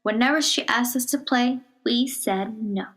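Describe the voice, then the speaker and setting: Woman, somewhat high voice, children's story read-aloud, measured expressive delivery, hushed phrases. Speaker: storybook reader.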